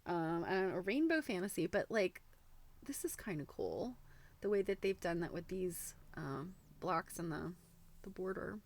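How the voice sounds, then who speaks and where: A woman host chatting casually on a livestream in an informal, halting way, thinking aloud as she goes. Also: on the low side, lively intonation. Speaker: host of a live quilting book show. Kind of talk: casual livestream chat, commenting while browsing a book.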